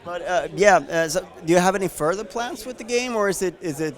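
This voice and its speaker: A man's voice: high-pitched, a little fast, some chatter behind. A man interviewing a game developer outdoors in a city, asking loose questions with repeated words.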